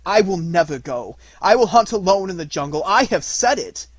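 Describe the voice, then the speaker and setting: A man reading aloud, voicing a fierce young male jungle boy raised by wolves, who shouts battle cries. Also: on the high side, animated, normal speed, no room sound, voice pushed up. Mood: defiant.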